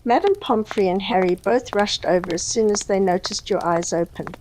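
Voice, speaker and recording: A woman's voice: mid-range voice, moderate pace, darkish tone, a little hiss or hum, poor audio. A woman giving scripted, dramatic story narration.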